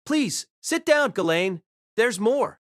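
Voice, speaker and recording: A man's voice: sits high, very animated, slow pace, a bit bright, no echo, studio quality, pitched above the performer's own voice, exaggerated voice. A man voicing a polite, wry young boy who is easily flustered, in an audio drama. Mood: calming.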